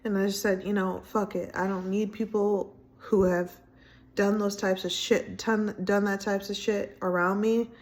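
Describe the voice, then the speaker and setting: Soft-spoken rambling woman in her thirties. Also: mid-range voice, little pitch movement, unhurried. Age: 30s. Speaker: vlogger. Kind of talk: casual personal vlog. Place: indoors at home, window closed.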